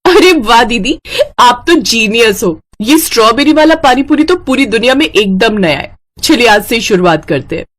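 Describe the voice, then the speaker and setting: A woman voicing an earnest, excitable young sister in a children's cartoon story. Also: fairly high pitch, normal speed, voice pushed up. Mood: delighted. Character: young sister Pinky, pleading then eager and excitable. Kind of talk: animated children's story dialogue.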